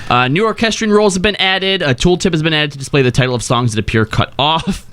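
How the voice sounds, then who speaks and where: High-pitched, animated man hosting casually. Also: fast. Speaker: host. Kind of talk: casual group chat, reading out game patch notes.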